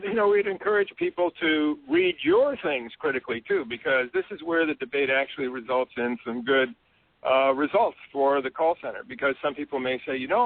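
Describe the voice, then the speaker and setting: A man's voice: mid-range voice, no room sound. A male host who steers the interview with jovial, prompting questions. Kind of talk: podcast interview talk. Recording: dry tinny phone line.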